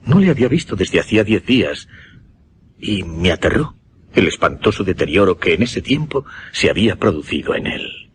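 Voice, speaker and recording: Radio drama grave male hypnotist, low voice with lively swings, Castilian accent, deliberate haunted delivery. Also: slight background noise. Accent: Castilian Spanish. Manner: deliberate, dramatic, hesitating and repeating words for effect. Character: grave male hypnotist recounting a dying patient's horror. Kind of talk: Spanish radio drama suspense narration.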